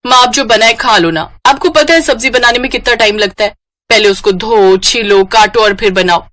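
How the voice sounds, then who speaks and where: A woman's voice: a little high, normal speed, fairly bright tone, dry recording, natural voice. A woman plays a young bride in a cartoon moral tale. She is lazy and dramatic, grumbling to herself with whiny, self-pitying exclamations. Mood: exasperated, whiny. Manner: complaining, exclaiming, talks to herself. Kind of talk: Hindi moral-story cartoon voice acting.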